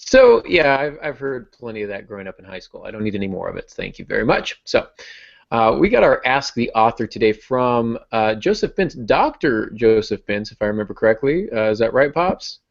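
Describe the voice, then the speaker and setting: A man's voice: medium pitch, big pitch swings, brisk, vocal fry, lo-fi sound. A man hosting a writing podcast in casual talk, with a self-deprecating, joking manner. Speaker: podcast host and fiction writer.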